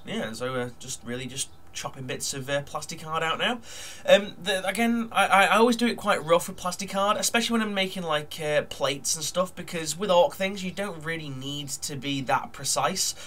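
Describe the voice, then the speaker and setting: A man's voice: fairly high pitch, expressive, moderate pace, a bit bright. A man with a nasal, blocked-up voice from a cold, chatting casually at his desk while narrating a model build, full of ums and uhs. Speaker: hobby model builder vlogger.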